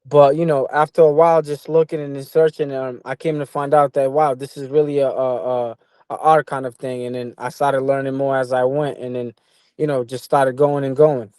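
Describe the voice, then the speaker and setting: A young man from Portugal who moved to the US as a boy, chatting casually as an interview guest, halting and repeating himself with lots of "you know". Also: mid-range voice, flat delivery, darkish tone, smooth, no echo. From Portugal, moved to the US at twelve. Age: young adult. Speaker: professional boxer, interview guest.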